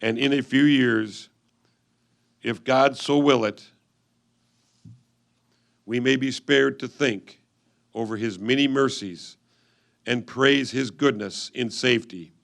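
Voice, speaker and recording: A man reading old letters aloud in a reader's theatre style, with a solemn, measured delivery. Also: mid-range voice, vocal fry, a bit bright.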